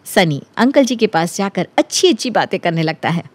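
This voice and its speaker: A woman's voice: lowish voice, big pitch swings, normal speed, husky, no room sound. Woman storyteller, very dry sound. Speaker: narrator. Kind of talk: scripted story narration.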